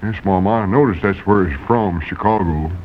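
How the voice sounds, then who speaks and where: An actor playing a polite, slow-witted young country fellow in an old-time radio comedy, with a rural Southern accent and deferential talk. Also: male voice, low-pitched. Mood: polite, simple. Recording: muffled vintage radio recording.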